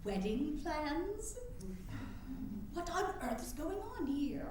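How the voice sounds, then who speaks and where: A woman's voice: high voice, very animated, slow pace, a little mellow, full character voice. A woman playing a skeptical, astonished suffragist confidante, questioning and theatrical, in a staged reading. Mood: incredulous. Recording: very echoey room, faint background noise.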